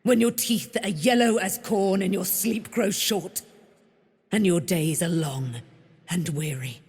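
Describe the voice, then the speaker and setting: An actress playing a proud, wrathful immortal warrior, daughter of a moon goddess, declaiming in grand archaic speech for a fantasy game. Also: female voice, on the low side, slow, darkish tone, husky, no room sound, studio quality, heavily acted. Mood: cold menace. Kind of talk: theatrical fantasy game voice acting.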